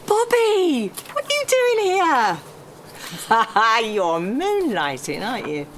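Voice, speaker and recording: A woman plays a brash, teasing friend out for the night, high-pitched and very animated. She is sarcastic and bossy in this amateur script read, with faint noise in the background. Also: takes it slowly, full character voice. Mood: excited. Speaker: actor at a script table read.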